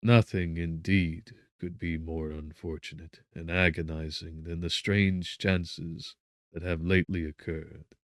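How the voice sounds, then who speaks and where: A man's voice: sits low, flat delivery, measured pace, no room sound, clean studio sound. Man acting compassionate, courteous magistrate. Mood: sympathetic. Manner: gentle, formal, solicitous. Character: kindly, sympathetic male magistrate, courteous and compassionate.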